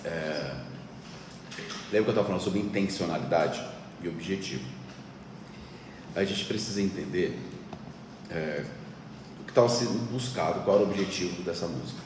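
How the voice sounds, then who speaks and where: Guy, deep voice, teaching step by step, far from the mic, big reverb. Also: moderate pace, long pauses, noisy background. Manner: didactic, explains step by step, animated when mimicking a politician. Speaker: church music director. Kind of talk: podcast interview, teaching. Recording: echoey room from far off, faint ambience.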